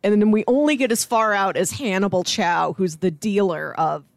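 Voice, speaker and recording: A woman's voice: mid-range voice, normal speed, vocal fry, no echo. A woman co-hosting a podcast, talking casually about a movie. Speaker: podcast co-host.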